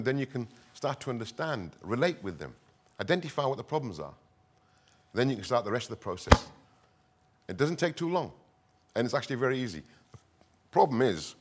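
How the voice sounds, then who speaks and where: A middle-aged man from the north of England, speaking earnestly at a conference in short, deliberate phrases with emphatic repetition. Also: medium pitch. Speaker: conference speaker, counter-extremism expert. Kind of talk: conference presentation, semi-improvised public speaking.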